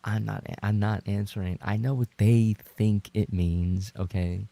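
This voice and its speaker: A man chatting casually as a livestreamer, rambling and trading playful banter with his viewers, in a gravelly, husky voice. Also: fairly low pitch, steady tone, measured pace, dark tone, dry recording. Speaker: livestreamer and ASMR voice actor reading chat.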